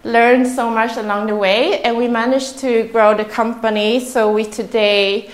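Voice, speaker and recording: A woman's voice: on the high side, steady tone, measured pace. Nervous Swedish-accented woman in an echoey room. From Sweden. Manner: chatty, laughs often, self-deprecating. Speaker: speaker. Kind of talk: nervous live talk to a small audience. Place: room with audience.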